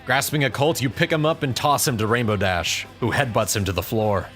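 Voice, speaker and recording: A man's voice: medium pitch, animated, a little fast, fairly bright tone, faint music behind. A man narrating a scripted audio drama as storyteller.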